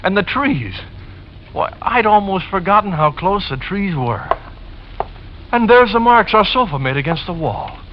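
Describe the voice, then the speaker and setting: A dull, noisy old radio recording carries a gentle widower, earnest and nostalgic. His mid-pitched voice swings expressively as he clings politely to the memory of his old home. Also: big pitch swings, unhurried. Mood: wistful. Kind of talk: 1940s radio drama acting.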